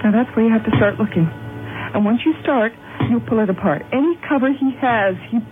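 An actress playing an earnest, intense woman in a radio drama, speaking in urgent, halting, insistent phrases. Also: fairly high pitch, music in the background, lightly acted. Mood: tense, realizing. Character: earnest, intense woman piecing together a murder, growing afraid. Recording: noisy, phone-like old radio broadcast.

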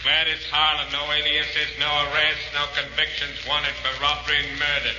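An actor playing an impassive police announcer in a 1940s radio drama, reading records in a clipped, official way. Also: male voice, a little high, completely flat delivery, moderate pace, lightly acted. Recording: reverberant hall, noisy muffled old radio.

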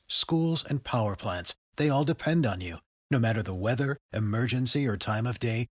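Low-pitched, dry commercial voice-over man. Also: dry recording. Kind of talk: scripted advertisement narration. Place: studio.

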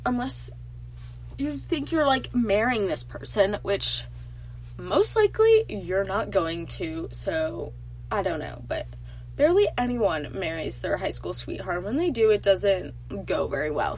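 Teen girl podcaster, stuffy nasal voice, chatty, mid-pitched, faint hum behind. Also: poor audio. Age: teens. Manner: chatty and animated, lots of filler "like". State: congested from allergies. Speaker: podcast host. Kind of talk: casual solo podcast chat.

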